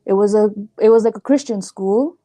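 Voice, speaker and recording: A woman's voice: mid-range voice, moderate pace, warm tone. A woman from Nepal, hosting a casual conversation and telling her story in a rambling, chatty way. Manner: chatty and rambling, many restarts and fillers. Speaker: host.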